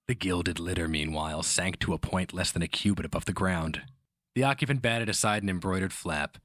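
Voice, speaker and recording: Casting: man with a low register for solemn, theatrical fantasy audiobook narration, recorded dry. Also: a bit bright, no echo. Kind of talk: dramatic scripted fantasy narration.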